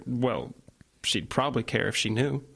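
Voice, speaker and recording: An actor playing an easygoing older brother with casual, offhand talk. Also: male voice, mid-range voice, moderate pace, vocal fry, a bit bright, breathy edge.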